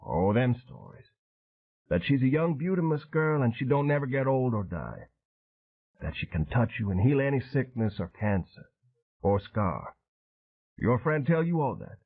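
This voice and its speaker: A man voicing a gruff male Vietnam veteran boatman, speaking in clipped, broken phrases on a tinny phone-like recording. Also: mid-range voice, sing-song, a little slow, pitched above the performer's own voice. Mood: terse, knowing. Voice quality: clipped broken grammar, laconic.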